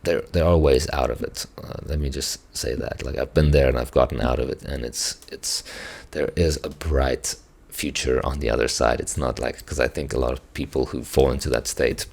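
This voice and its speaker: Hoarse, very deep gravelly man. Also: steady tone, moderate pace, hardly any pauses, darkish tone. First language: Afrikaans. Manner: reflective, hesitant, many restarts. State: hoarse, strained voice. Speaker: musician reacting to songs. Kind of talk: casual reaction commentary.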